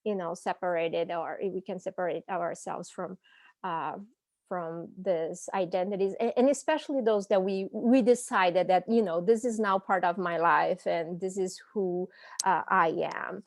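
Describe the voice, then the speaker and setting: A woman with a Brazilian Portuguese accent speaks slowly and thoughtfully on a video call, pausing to search for her words. Her voice sits at a middle pitch and the sound is close and dry, with no room around it. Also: no echo. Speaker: panelist and language teacher. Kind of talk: personal reflection on a panel.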